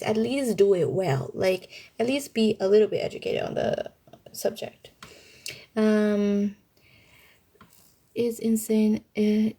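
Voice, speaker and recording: A young woman talks casually to her livestream viewers in a soft-edged, mellow voice at a medium pitch. She answers questions in informal, youthful slang. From Thailand.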